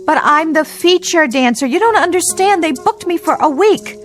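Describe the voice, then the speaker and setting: An actress playing an exhausted, anxious club dancer in a radio drama, fretful and protesting. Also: female voice, very high-pitched, expressive, normal speed, fairly bright tone, soft background music.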